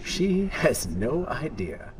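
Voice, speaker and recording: An actor playing a sinister, mocking dark spirit who tempts and sneers in a video game cutscene. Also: male voice, fairly high pitch, sing-song, takes it slowly, slight background noise. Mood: scornful, weary. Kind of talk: dramatic video game villain acting. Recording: loud music over the voice.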